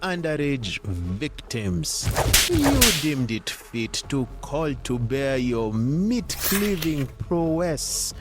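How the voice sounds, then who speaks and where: A man's voice: mid-range voice, big pitch swings, very slow, very noisy, voice pushed up. A man playing a stern, disgusted older judge in an audio drama, speaking in a formal, grave and scathing manner.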